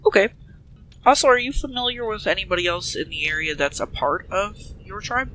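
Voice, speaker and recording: A man's voice: high-pitched, animated, brisk, sharp tone, a little hiss or hum, low-quality recording. A man playing a tabletop role-playing game, improvising casual dialogue with polite, hedging questions.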